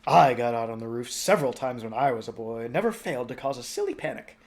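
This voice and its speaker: A man's voice: medium pitch, expressive, fast talker, darkish tone, natural voice. A man voicing a courteous, absent-minded old king with a genial manner. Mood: fond.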